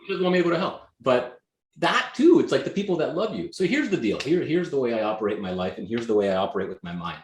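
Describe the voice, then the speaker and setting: A man's voice: medium pitch, sing-song, quick pace, a little hiss or hum. A Canadian-born man whose first language was Greek, talking energetically and emphatically as a guest in a casual interview. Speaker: guest, real estate team leader. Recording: echoey room.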